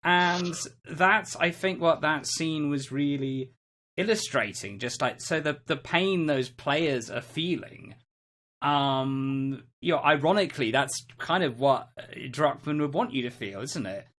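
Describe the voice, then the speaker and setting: Podcast co-host, medium pitch, emphatic and opinionated, chatting casually about games. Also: lively intonation, a little slow, a bit bright. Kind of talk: casual video game discussion.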